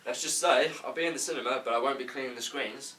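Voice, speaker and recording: Man playing a fast-talking, cocky would-be actor. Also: mid-range voice, pushed and bright, lightly acted. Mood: smug. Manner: awkward, cocky, over-eager. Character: naive, boastful young would-be actor, easily delighted. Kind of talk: amateur comedy script table read. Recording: distant mic, faint room noise.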